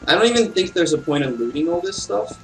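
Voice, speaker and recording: A man's voice: on the high side, animated, fast, a bit bright, recorded from a distance, poor audio. Man rambling casually over game music. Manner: rambling, offhand. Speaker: let's play gamer.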